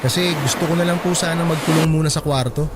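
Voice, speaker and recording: A man's voice: on the high side, fairly even intonation, fast, heavy background noise, close to an everyday voice. A man playing a resentful young adult son in a Tagalog radio drama, curtly polite on the surface and pointedly sarcastic. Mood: confronting, sarcastic. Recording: loud music bed under dialogue.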